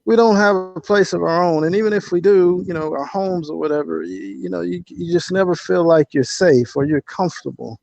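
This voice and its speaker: Middle-aged male guest, gravelly and fairly high, reflective, halting delivery, muffled lo-fi sound. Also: darkish tone, no room sound. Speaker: interview guest, author. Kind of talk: casual, reflective conversation.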